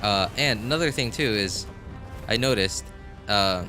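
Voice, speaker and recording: Low-pitched, bright-voiced rambling man. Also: expressive. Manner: rambling, halting, thinking aloud. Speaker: game livestreamer. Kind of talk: casual chat to viewers while gaming. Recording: music playing behind the voice. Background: background music.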